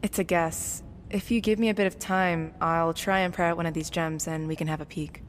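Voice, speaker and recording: A woman playing a practical, level-headed young explorer in an audio drama, matter-of-fact and measured, in a reverberant space. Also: fairly low pitch, fairly even intonation, natural voice. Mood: explaining. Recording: long cave-like reverb, faint noise.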